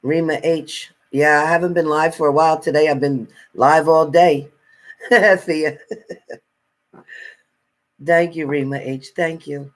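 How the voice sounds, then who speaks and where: A man with a somewhat high voice talks casually on a livestream. As a tarot reader, he greets and thanks viewers by name, gives friendly advice, and laughs often. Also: fairly even intonation, slow, long pauses, a bit bright. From New Jersey.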